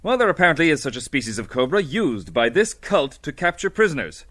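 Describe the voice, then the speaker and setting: An actor playing a decisive heroic adventurer in a radio serial, giving orders with authority. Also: male voice, fairly high pitch, big pitch swings, a little fast, fairly bright tone. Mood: grave, commanding. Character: commanding heroic male adventurer, calm and decisive. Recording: old radio drama recording.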